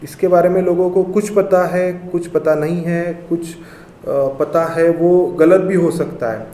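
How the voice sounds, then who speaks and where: Male interviewer, slightly high and mellow, conversational questioning, recorded in an echoey room with faint noise. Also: steady tone, unhurried. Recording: roomy echo, faint background noise.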